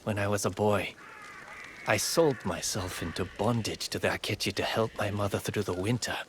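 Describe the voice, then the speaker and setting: A man's voice: on the low side, normal speed, background noise, close to an everyday voice. A man playing an eager, earnest young villager training as a fighter in a video game cutscene. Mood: earnest, resolute.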